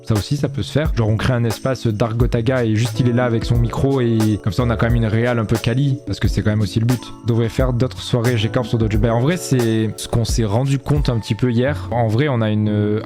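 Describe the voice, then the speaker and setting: Young male streamer from Marseille, no accent, loose chatty delivery over music. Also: medium pitch. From Marseille, France. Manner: chatty, loose, many fillers and restarts. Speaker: streamer debriefing a live event. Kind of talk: casual chat to viewers.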